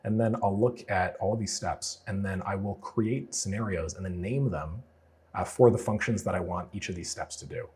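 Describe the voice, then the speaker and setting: A man getting over a cold, casually walking viewers through a software build in a tutorial, explaining as he goes with plenty of fillers. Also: deep voice, moderate pace, breathy edge. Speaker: automation freelancer teaching a client build. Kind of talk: screen-share tutorial walkthrough.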